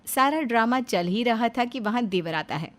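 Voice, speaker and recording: Animated female cartoon story narrator. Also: on the high side, normal speed.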